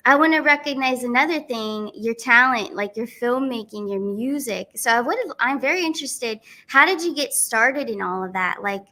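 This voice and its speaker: A woman host with a high, bright voice, chatting on a video call. She keeps her guest going with quick "mm-hmm"s and "yeah"s and asks open questions. Her tone is sharp and clear. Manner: encouraging, frequent short affirmations. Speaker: podcast host. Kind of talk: casual interview.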